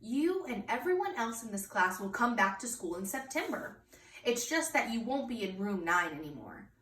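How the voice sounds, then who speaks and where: A woman voicing a patient, polite kindergarten teacher from a storybook, explaining things gently. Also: fairly high pitch, sing-song, normal speed. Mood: apologetic. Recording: echoey room, distant mic, faint noise.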